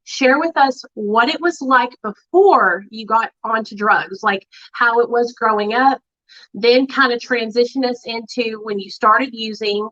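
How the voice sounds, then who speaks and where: Bright-voiced chatty woman hosting a livestream. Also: a little high, measured pace. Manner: chatty and excited, with filler words. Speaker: livestream host. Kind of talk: casual livestream chat. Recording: muffled lo-fi livestream audio.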